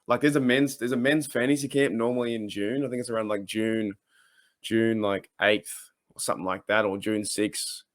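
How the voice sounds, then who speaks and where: A man's voice: on the low side, fairly even intonation, no room sound. A man with an Australian accent hosting a casual sports podcast, talking in a rambling, hesitant way. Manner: rambling, hesitant, halting delivery. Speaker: podcast host.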